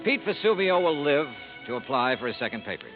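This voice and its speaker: An actor playing a shrewd, confident, wry hard-boiled investigator in a vintage radio noir, with crisp delivery. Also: male voice, mid-range voice, sing-song, brisk, over music. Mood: wry, reflective. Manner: crisp, clipped, confident accusations. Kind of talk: 1950s radio noir acting and narration. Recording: muffled, faintly noisy vintage radio recording.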